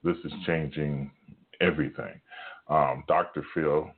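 A man with a very deep, rumbling voice giving an informal sermon at home, talking quietly with many pauses and fillers. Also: steady tone, slightly rough, phone-quality sound. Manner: hushed, halting talk with many fillers. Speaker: preacher. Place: home at night, son in next room.

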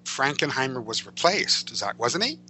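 A man chatting as a podcast guest, telling anecdotes in a halting way, often repeating words as he searches for the next one. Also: medium pitch, big pitch swings, bright, forceful tone. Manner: halting, stammering over words as he recalls things. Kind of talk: casual chat, telling showbiz anecdotes. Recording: hum and background noise.

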